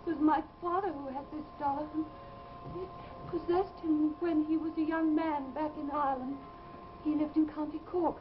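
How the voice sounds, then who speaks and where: Casting: actress as haunted young woman, high plaintive voice, earnest 1950s TV horror melodrama, muffled soundtrack. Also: fairly even intonation, moderate pace, natural voice. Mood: haunted, grave. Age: young adult. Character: tormented, fearful young woman who believes she is possessed. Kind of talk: 1950s live television melodrama acting. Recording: muffled, noisy old TV soundtrack.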